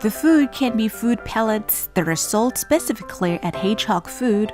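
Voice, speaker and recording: A woman reading a calm, instructive scripted narration. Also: on the high side, moderate pace, faint music behind.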